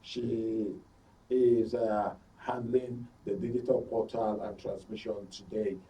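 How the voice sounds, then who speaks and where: A man's voice: fairly low pitch, little pitch movement, slow pace, warm tone, distant mic, low-quality recording. A man with a Nigerian accent hosting a current affairs talk show, speaking emphatically and repeating phrases for stress. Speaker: radio and TV talk show host. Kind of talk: current affairs discussion and programme sign-off. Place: radio and TV studio.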